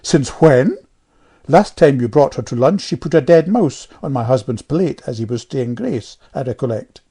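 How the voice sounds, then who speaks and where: A woman's voice: a bass voice, sing-song, normal speed, warm tone, exaggerated voice. A woman voicing a snobbish, catty vicar's wife and doting mother, tart and condescending, in a storybook.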